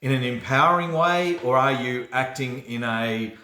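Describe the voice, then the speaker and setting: Male livestream host, Australian accent, average pitch, casual self-help coaching chat, echoey room. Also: normal speed, pushed and bright. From Australia, born in New Zealand. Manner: chatty, checks in with "right?". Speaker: host of a personal development livestream. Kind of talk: casual motivational talk. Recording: roomy, echoey room sound.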